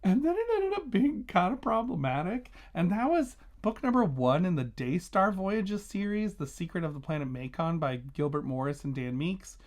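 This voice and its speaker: A man's voice: sits high, animated, moderate pace, few pauses. A man hosting a casual book review vlog, talking conversationally and emphatically, stressing and repeating key words.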